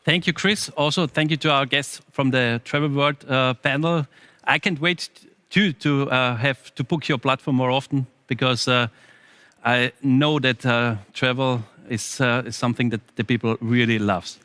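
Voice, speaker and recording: A man's voice: mid-range voice, fairly even intonation, normal speed, sharp tone, clean tone, dry recording. A man hosting an online company event, speaking as an in an eager, upbeat way. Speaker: event host.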